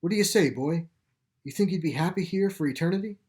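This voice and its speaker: A man reading a story plays an earnest, grieving boy who loves his dog, speaking haltingly and with emotion. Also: on the high side, darkish tone, pitched above the performer's own voice, natural voice. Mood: resolved, hopeful. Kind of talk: storybook character dialogue.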